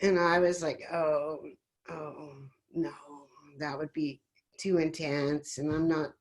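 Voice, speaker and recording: A woman's voice: sits low, little pitch movement, slow. A woman chatting and telling an anecdote in a casual way on a video call. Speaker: musician, salon participant.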